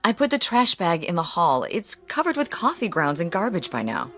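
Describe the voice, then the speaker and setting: An actress playing an exasperated wife in a radio drama, brisk and dismissive but placating her husband. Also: on the low side, wildly swooping pitch, a bit bright, soft background music. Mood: impatient.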